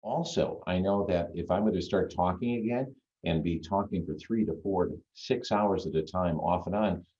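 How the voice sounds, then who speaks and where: Deep radio-voiced man on a muffled call. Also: moderate pace, warm tone. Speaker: guest magician. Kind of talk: casual chat on a video call. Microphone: video call. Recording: dull lo-fi video-call audio.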